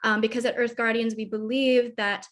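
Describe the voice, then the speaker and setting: A woman's voice: on the high side, flat delivery, normal speed, fairly bright tone. A woman speaking as a guest on an online panel, earnest and enthusiastic, with many ums. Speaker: crew director of a youth movement.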